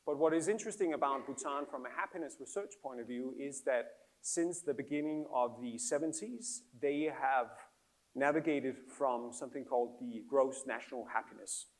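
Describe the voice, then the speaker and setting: A man with a Danish accent, a happiness researcher giving a public talk to an audience in an earnest, explanatory way with gentle humor. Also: medium pitch, moderate pace. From Denmark.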